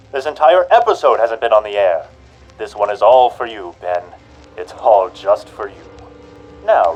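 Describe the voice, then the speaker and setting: Brief: a cruel radio-play narrator; low voice, slow, menacing delivery. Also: male voice, darkish tone, rough recording. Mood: taunting. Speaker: horror radio show narrator. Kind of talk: 1940s radio horror narration.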